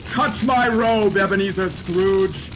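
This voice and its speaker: A man's voice: high-pitched, background noise. A man playing a jolly giant spirit in a radio play, hearty and theatrical. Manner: hearty, laughing, theatrical shouting.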